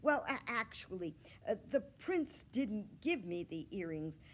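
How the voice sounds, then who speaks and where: A woman's voice: mid-range voice, big pitch swings, unhurried. A woman voicing a confident, theatrical little schoolgirl who loves attention, in a storybook reading, with a self-assured, showy manner.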